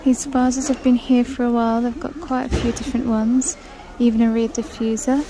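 A woman's voice: a little high, little pitch movement, moderate pace, poor audio. A woman vlogging a shop walk-through, chatting casually about items and reading out prices in a large store. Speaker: shopping vlogger. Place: large indoor discount store. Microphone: handheld phone camera.

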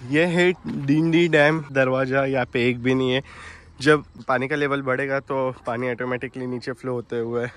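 A young man, a travel vlogger, chatting excitedly to his phone camera as he shows a temple and a dam. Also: medium pitch, moderate pace. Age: young adult. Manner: excited, chatty tour-guide talk mixing Hindi and English. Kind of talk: casual travel vlog narration. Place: outdoors at a temple and a dam, and inside a temple hall. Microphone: phone held at arm's length. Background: background music.

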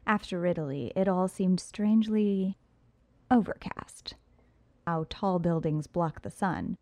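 A woman's voice: mid-range voice, a little mellow, dry recording. A woman reading an audiobook in the first person, with poised, sultry storytelling, recorded on a close studio mic. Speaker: audiobook narrator.

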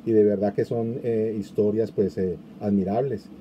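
A man's voice: fairly low pitch, steady tone, normal speed, dark tone, highs cut off, slight background noise. A middle-aged man hosting a live online interview over a video call, chatting easily and reading out viewer comments. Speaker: interview host. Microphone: video call webcam.

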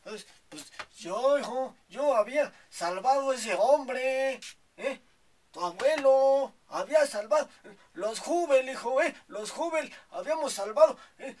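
A man's voice: very high-pitched, lively intonation, unhurried, a little mellow, slight background noise, pitched above the performer's own voice. A man playing a boastful, preachy old Mexican grandfather who rambles and keeps adding "hijo, ¿eh?", in a comedy sketch. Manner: rambling, tags nearly every phrase with "hijo, ¿eh?".

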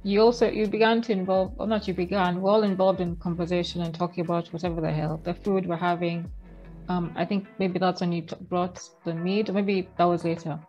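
A woman's voice: lowish voice, little pitch movement, fairly quick, a little mellow, dull audio, soft background music. A young woman from Kenya hosting her vlog, reminiscing in a chatty way with frequent restarts, talking over a headset on a video call at home. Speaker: vlogger host. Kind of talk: casual video call chat. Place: home room with shelves, indoors.

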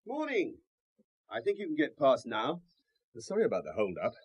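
An actor playing an unhurried, discouraging local country man in a radio drama, matter-of-fact and dry in manner. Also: medium pitch, wildly swooping pitch, darkish tone, breathy edge, no room sound, full character voice. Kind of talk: 1970s radio drama acting.

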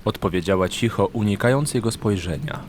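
A man's voice: lowish voice, a little fast, slight background noise. A man narrating a scripted fantasy story as an audiobook narrator. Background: background music.

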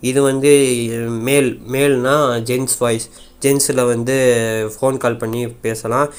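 A man's voice: medium pitch, little pitch movement, a little slow, clear, resonant tone. A man casually walking viewers through a phone app in an informal, rambling way with repeated filler phrases. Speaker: tech YouTuber. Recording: faint background noise.

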